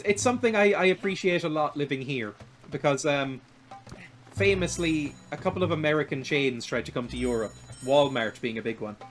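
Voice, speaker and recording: A young man with a high, light voice talks casually as he plays through a video game, drifting from topic to topic and often laughing at himself. His pitch sits above the usual for men. Faint music plays underneath him. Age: 20s. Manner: rambling and self-deprecating, laughs often. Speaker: streamer. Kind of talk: casual chat while playing a video game.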